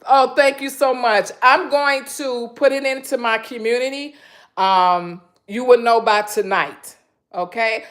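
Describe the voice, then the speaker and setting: A woman from Michigan with a naturally loud voice hosts a relaxed live stream from her home kitchen. She rambles in a chatty way, filling pauses with ums and addressing viewers in the chat directly. The sound comes through a phone camera. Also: on the high side. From Michigan, USA.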